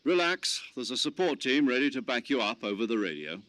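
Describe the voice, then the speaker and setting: A commanding officer, dry and close. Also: male voice, mid-range voice, big pitch swings, fairly bright tone, dry recording. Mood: composed, explanatory. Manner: formal, authoritative, measured. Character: commanding male officer briefing a covert agent by radio. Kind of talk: video game cutscene acting.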